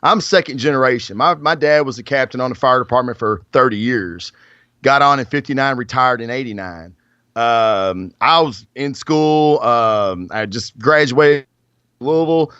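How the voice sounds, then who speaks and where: A man's voice: mid-range voice, normal speed, a bit bright, clear, resonant tone, no echo. A man in his forties, a firefighter guest, telling stories in a rambling, casual way. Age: 40s. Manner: rambling storytelling with many fillers. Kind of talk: casual podcast chat. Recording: dull dry lo-fi audio.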